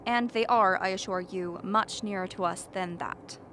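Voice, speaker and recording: A woman's voice: medium pitch, normal speed, fairly bright tone, natural voice. A woman playing a confident, dismissive scientist at a polar base in a radio drama. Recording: faint background noise.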